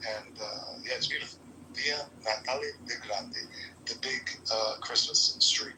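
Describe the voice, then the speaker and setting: A man grown up partly in Italy, chatting casually and haltingly with many fillers on a group call. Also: medium pitch, noisy background. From Italy and the United States. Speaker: call participant.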